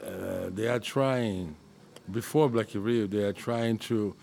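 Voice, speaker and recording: A man with a Brazilian accent, a musician answering interview questions in halting English with many restarts, his first language being Portuguese. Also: on the low side, gravelly, darkish tone. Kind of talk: casual interview.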